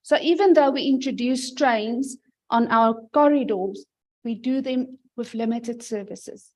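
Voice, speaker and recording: A middle-aged woman from South Africa giving a conference presentation in a loud, emphatic voice. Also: a little high, a little slow.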